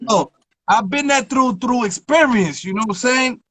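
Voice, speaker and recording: A man's voice: very high-pitched, normal speed, a bit bright, breathy edge, dry recording. A man hosting a live-stream interview, talking emphatically and often checking in with his listener. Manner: emphatic, keeps asking "you feel me". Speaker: interview host. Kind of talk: casual interview chat. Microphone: phone on a live stream.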